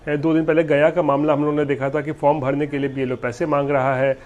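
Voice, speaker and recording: A man's voice: a little high, darkish tone. A man giving live commentary as a news reporter.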